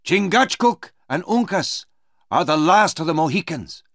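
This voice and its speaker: A man's voice: on the high side, lively intonation, a little slow, a bit bright, no room sound, studio quality, pitched above the performer's own voice. A man voicing a proud, earnest young Mohican warrior in a storybook, with an emphatic, declaiming delivery.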